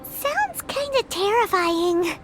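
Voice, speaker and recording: A tiny, excitable fairy sidekick from a video game. The voice is extremely high, childlike and cutesy, swinging up and down at an unhurried pace. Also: female voice, full character voice. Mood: uneasy, hopeful. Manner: squeaky, cutesy, refers to self in third person. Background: game music.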